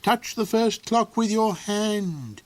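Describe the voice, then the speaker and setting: A quirky little magical helper from a storybook, played by a man. He fusses and mutters, hesitating as he works things out. His pitch runs raised and jumps about. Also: animated, takes it slowly, darkish tone. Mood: urgent coaxing.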